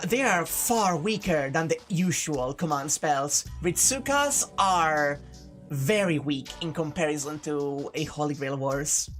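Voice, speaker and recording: A woman with a slightly low voice narrates a visual novel playthrough. She slips into informal, jokey, sweary commentary, with game music playing behind her. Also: a bit bright. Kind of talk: reading a visual novel aloud with casual commentary.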